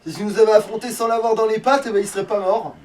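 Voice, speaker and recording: A man's voice: high voice, steady tone, racing, darkish tone. Sweary gamer man, distant and noisy. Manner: sweary, grumbling at the game. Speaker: let's play gamer. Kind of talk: casual game commentary. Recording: noisy room, distant microphone.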